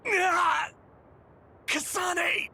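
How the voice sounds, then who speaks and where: A man's voice: very high voice, fairly even intonation, drawn-out words, creaky, pushed and bright, raised voice, full character voice. A man playing an earnest, distraught teenage boy soldier in a dramatic video game, pleading and emotional. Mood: shocked, shouting.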